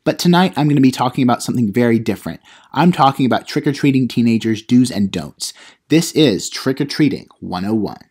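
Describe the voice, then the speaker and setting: A man's voice: mid-range voice, animated, brisk, darkish tone, slightly rough. A man playing a cheeky teenage vlogger narrator. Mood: smug, instructive. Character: cheeky self-important teenage vlogger narrator, mock-instructional. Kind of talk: comedic how-to vlog monologue.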